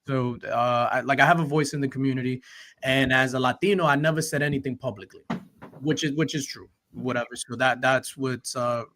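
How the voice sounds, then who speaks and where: A man's voice: mid-range voice, fairly even intonation, a little fast, a bit bright. A man hosting a livestream, earnestly explaining his side at length with fillers. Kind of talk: casual chat.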